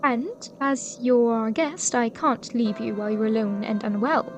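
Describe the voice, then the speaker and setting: A woman playing a kind, devoted young gentlewoman in a period audio drama, speaking with earnest, polite formality. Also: on the high side, fairly even intonation, unhurried, faint music behind, lightly acted. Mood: gently insistent. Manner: polite, formal, earnest old-fashioned speech.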